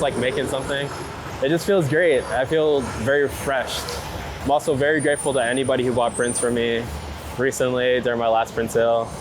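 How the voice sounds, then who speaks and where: Young man chatting over loud street noise. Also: medium pitch. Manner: slangy, halting, full of filler "like". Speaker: street photographer being interviewed. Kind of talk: casual chat on the street. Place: outdoors on busy city streets. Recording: loud city traffic noise outdoors. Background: loud urban ambience and passing vehicles.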